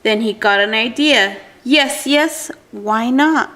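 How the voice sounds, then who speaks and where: A woman with a fairly high voice reads a children's storybook aloud. She takes her time, phrasing each line deliberately and with drama, as if for a young child listening. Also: fairly bright tone. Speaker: adult reading a picture book aloud to a child.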